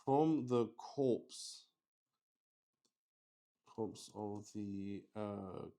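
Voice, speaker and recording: Slow-talking man with a clear, smooth voice. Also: fairly low pitch, mellow. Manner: halting, thinking aloud while improvising. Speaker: solo tabletop roleplay host. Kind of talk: improvised narration of a solo tabletop roleplaying game.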